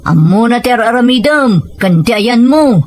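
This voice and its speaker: A woman's voice: fairly high pitch, animated, measured pace, a little mellow. A woman acting a young, blunt, nagging friend in an audio drama, with a Filipino accent. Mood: exasperated. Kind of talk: Ilocano audio drama dialogue.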